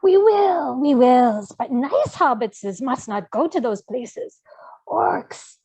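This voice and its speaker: A woman's voice: high-pitched, lively intonation, warm tone, slightly rough, exaggerated voice, low-quality recording. A woman voicing a small, wretched, cunning creature with a whiny, hissing voice and broken grammar, at a fantasy script table read. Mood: fawning, wretched. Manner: slow, fawning, broken grammar.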